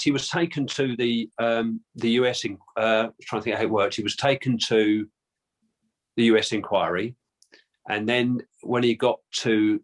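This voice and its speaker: A man with a London accent answering interview questions casually, hesitant with many restarts and fillers. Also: on the low side. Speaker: guest, descendant of a Titanic crewman.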